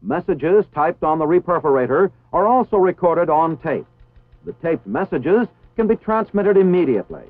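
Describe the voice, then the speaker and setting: A man with an American accent reading formal, scripted instructional narration in a measured, precisely enunciated way. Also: on the high side, very animated, no room sound. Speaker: training film narrator. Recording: tinny phone-like 1960s film soundtrack.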